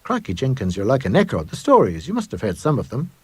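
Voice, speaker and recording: A man acting as a small, untidy schoolboy in a ghost story reading. The voice is lifted and husky, eager but uneasy, full of boyish chatter. It sounds close and dry. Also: mid-range voice, huge pitch swings, quick pace, darkish tone, dry recording, voice pushed up, exaggerated voice. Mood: gossipy, fearful.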